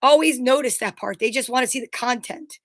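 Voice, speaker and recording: High-voiced, agreeable woman in casual chat. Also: fairly quick, no echo, studio quality. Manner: conversational, agreeable. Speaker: co-host. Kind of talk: casual chat, reading out viewer comments.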